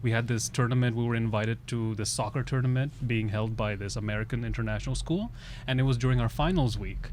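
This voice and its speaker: A man hosting a comedy podcast, telling stories in a loose, joking way and laughing often. His voice sits at a middle pitch. Faint background noise sits behind him. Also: quick pace. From Bangladesh. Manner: joking, animated storytelling with frequent laughs. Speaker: podcast host. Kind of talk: casual comedic chat.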